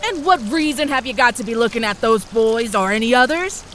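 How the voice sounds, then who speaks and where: Woman acting a strict rustic mama, folksy, scolding, animated pitch, audio drama, light watery noise behind. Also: fairly quick. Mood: stern, scolding. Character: stern rustic frontier mother.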